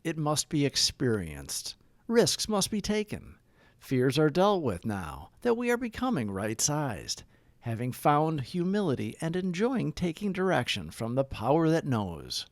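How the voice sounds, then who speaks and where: A man with a slightly high, gravelly voice reads a daily meditation aloud. His intonation moves up and down a great deal, and his phrasing is earnest and deliberate, like a host guiding listeners through a devotional text. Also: dry recording. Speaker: host of a recovery meditation reading. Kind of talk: scripted devotional read-aloud.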